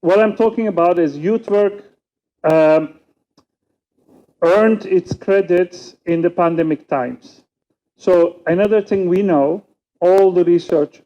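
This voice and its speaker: A man whose first language is Turkish, speaking with a Turkish accent as a panelist giving a measured, reflective conference talk with many pauses. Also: fairly high pitch, highs cut off. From Turkey.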